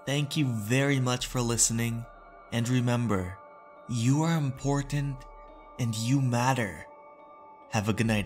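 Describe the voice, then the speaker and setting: A man narrating in a measured, deliberate way, as the host of a horror story channel. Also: mid-range voice.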